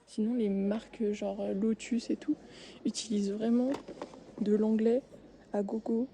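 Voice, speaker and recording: Soft-spoken woman whispering in a store aisle. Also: mid-range voice, flat delivery, a little slow, a little hiss or hum. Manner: soft-spoken, drifting into whispers, many hesitations. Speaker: ASMR creator. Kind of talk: soft-spoken ASMR while tidying shelves. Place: supermarket aisle, indoors. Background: faint store ambience.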